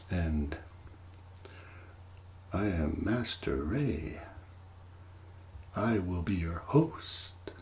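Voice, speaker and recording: Guy doing a mysterious manor host for asmr, low soft voice, super slow n formal, whispery, some noise behind. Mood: theatrical welcome. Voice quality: soft, hushed, partly whispered. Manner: slow, deliberate, formal, long pauses. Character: mysterious manor master, formal, courteous male game host.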